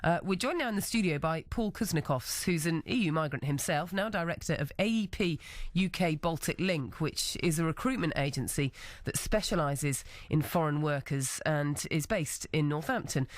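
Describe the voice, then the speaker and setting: A woman's voice: sits low, expressive, moderate pace, few pauses, no room sound. Woman radio presenter asking questions. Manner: probing questions, conversational. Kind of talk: radio studio interview. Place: radio studio. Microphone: studio microphone.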